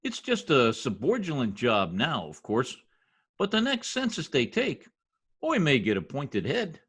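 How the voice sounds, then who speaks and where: A man's voice: medium pitch, huge pitch swings, unhurried, a bit bright, slightly rough, exaggerated voice. A man playing a folksy, self-important wisecracking husband in an old-time radio comedy, talking in slangy bluster. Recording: home video-call recording.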